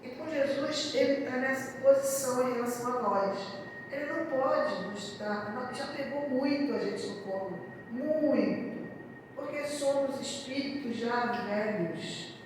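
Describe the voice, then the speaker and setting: Hoarse Brazilian woman speaking slowly in a hall. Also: high voice. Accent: Brazilian Portuguese. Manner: deliberate, often asking "né?" of the audience. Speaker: spiritist lecturer. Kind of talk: spiritist talk to an audience. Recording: echoey hall, distant mic, background noise.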